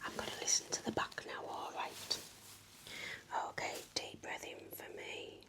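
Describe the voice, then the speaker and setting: A woman speaking in a gentle, breathy, mostly whispered voice, playing a reassuring nurse in a soft-spoken ASMR medical roleplay. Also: normal speed. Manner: gentle, reassuring bedside manner. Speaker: ASMR performer playing a home-visit nurse.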